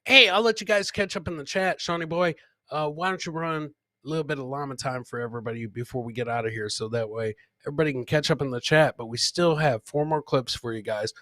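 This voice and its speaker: A man's voice: fairly high pitch, fast talker, bright, forceful tone, dry recording. A man hosting a comedy show, chatting casually and ramblingly with joking asides as he comments on clips.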